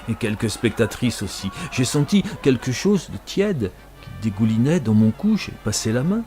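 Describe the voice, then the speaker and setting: An actor playing an old man telling his own tale in an expressive, savouring way, as in an audio drama. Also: mid-range voice, moderate pace, faint music behind. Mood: wry recollection. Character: wistful old one-eared Norwegian tavern storyteller, male. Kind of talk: dramatic first-person storytelling in audio drama.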